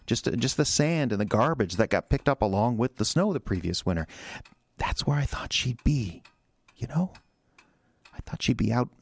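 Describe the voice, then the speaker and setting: An American man acting in a radio drama as an anxious, defensive husband who speaks haltingly and repeats his words. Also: medium pitch, vocal fry, dry recording.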